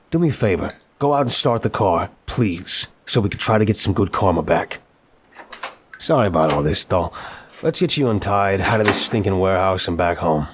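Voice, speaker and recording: Man playing a deep-voiced, wry mafia boss. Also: slight background noise. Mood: exasperated, then apologetic, reassuring. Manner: wry, conversational, gangster slang. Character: apologetic, wry, charming male mafia boss. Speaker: audio roleplay performer. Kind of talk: scripted ASMR roleplay acting.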